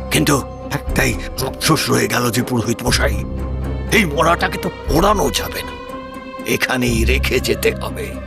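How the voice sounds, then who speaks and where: Arrogant villain lord for horror radio play, male actor: raised, gravelly voice, swooping widely, mocking and haughty over music. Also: a little high. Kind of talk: Bengali horror audio drama acting. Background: dramatic music.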